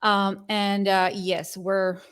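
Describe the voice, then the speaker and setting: A woman's voice: mid-range voice, flat delivery, slow pace, a bit bright, clear, resonant tone. A woman in her thirties from South Texas, a mortgage lender guest, explaining her background in a friendly way. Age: 30s. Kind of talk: casual interview.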